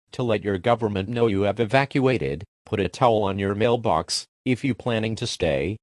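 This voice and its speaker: Low-pitched man reading emergency alerts, dry sound. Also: no echo. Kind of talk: scripted mock emergency broadcast narration.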